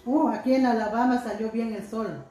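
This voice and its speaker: A middle-aged woman chats to her viewers from home, heartfelt and unhurried, reading out their comments as she goes. Her voice sits a little high but sounds mellow. The phone on the table picks up an echoey room with faint music behind her. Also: steady tone, a little hiss or hum. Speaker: vlogger. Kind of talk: casual chat to viewers. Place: indoors at home, by a window.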